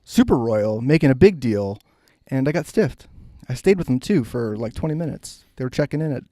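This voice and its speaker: Podcast co-host, American man, soft-edged timbre with an expressive melody, informal storytelling, dry close sound. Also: mid-range voice, fairly quick, dry recording. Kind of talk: casual banter, telling stories.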